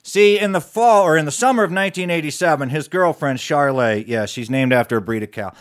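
A man's voice: fairly high pitch, brisk. A man telling a comic tall tale live, folksy and conversational with asides. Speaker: storyteller.

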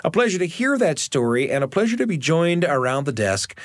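A man's voice: on the high side, sing-song, a little fast, dry recording, clean studio sound. A man hosting a radio show, introducing stories and chatting with guests. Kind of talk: hosted radio narration and chat. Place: studio.

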